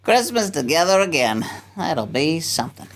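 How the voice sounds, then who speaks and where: A woman's voice: sits low, very animated, moderate pace. A woman playing a man, a dutiful, affectionate grown son who commands a navy rocket, in a sci-fi radio play. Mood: easygoing, proud.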